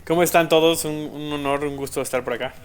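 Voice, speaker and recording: A man's voice: a little high, quick pace, clear, resonant tone, faint music behind. A man from Mexico with a Mexican accent, a pastor and podcast co-host, chatting casually and laughing easily. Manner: lively, laughing, many "este" fillers. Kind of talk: casual interview talk.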